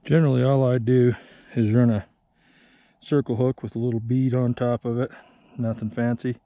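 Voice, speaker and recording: A man's voice: medium pitch, level tone, normal speed, no room sound, phone-quality sound. A man casually explaining his ice fishing setup outdoors on a frozen lake, plainspoken and self-deprecating, recorded on a body-worn action camera. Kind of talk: casual how-to narration.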